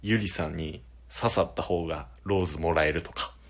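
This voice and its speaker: A man's voice: deep voice, fairly even intonation, moderate pace. A man acting a cheeky, boastful junior contestant in an amateur radio drama, with casually deferential speech. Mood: playful. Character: cheeky junior male rival on a dating show, eager and boastful.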